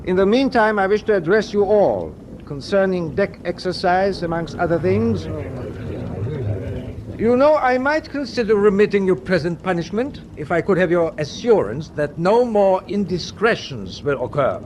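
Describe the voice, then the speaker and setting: A man playing a courteous but stern German sea captain in a radio war drama, speaking in a formal, measured, condescending way. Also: high-pitched, sing-song, a little mellow, a little hiss or hum.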